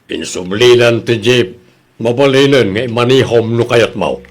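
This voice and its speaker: A man's voice: medium pitch, unhurried, lightly acted. A man with an Ilocano accent playing a money-minded jeepney owner, a casual and self-interested boss in a comedy radio drama. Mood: dismissive.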